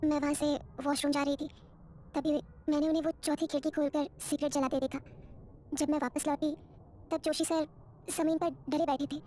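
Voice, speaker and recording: A woman voicing an earnest female nurse who gives a witness account in an animated horror story. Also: high voice, moderate pace, slight background noise, voice pushed up, natural voice. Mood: troubled recounting.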